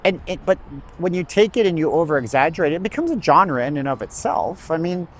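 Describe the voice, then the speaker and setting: Guy livestreaming outside on a city street, talking to chat, rambles on with hot takes, voice on the high side. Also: expressive. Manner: rambling and opinionated, quick asides to chat. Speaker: livestream host. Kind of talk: casual livestream chat, reading viewer comments.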